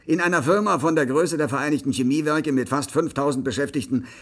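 A man's voice: fairly high pitch, fast, close to an everyday voice. An actor playing a dismissive, evasive industry spokesman in a German radio play, formal and defensive.

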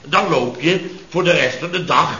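A man's voice: fairly high pitch, normal speed, sharp tone. An actor in a radio comedy playing an exasperated chef, blustering and indignantly repeating himself as he tells of disasters. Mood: indignant, dramatic. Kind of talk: radio comedy acting before an audience. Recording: echoey dull old radio recording, audience noise.

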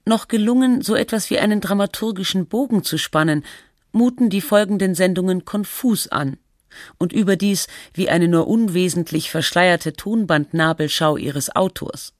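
An actress playing a sober, analytical documentary narrator, reading scripted text in a formal, measured manner. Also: female voice, lowish voice, no echo, clean studio sound, natural voice.

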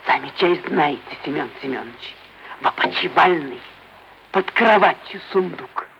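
A man's voice: fairly high pitch, lively intonation, measured pace. An actor voicing a simple, wary female housekeeper in a theatrical radio play, folksy, deferential and plaintive. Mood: confiding. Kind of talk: theatrical classic Russian stage acting. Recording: dull vintage radio recording, faint hiss.

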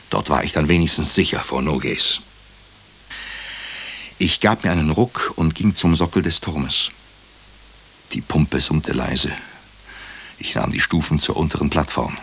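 A deep, husky-voiced thriller hero. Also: male voice, a bit bright, heavily acted. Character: male doctor narrating his own nervous night-time ordeal in a thriller. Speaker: first-person narrator in a radio crime drama. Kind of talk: dramatic radio play narration.

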